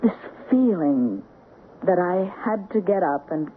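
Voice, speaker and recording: An actress with an American accent playing a dreamy, strong-willed wife, intense and halting in a 1970s radio drama. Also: female voice, fairly low pitch, animated. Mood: mystified, intense. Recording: tinny, noisy 1970s radio broadcast recording.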